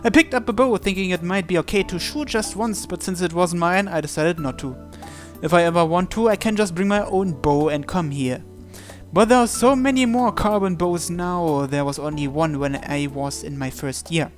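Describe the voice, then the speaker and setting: A man's voice: high voice, moderate pace. A man reading a visual novel aloud as first-person narration for a playthrough. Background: game music.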